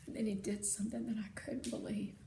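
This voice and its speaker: A woman narrating a children's story expressively, with deliberate, dramatic phrasing. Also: mid-range voice, level tone, creaky, dark tone. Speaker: read-aloud narrator. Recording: roomy sound with faint noise.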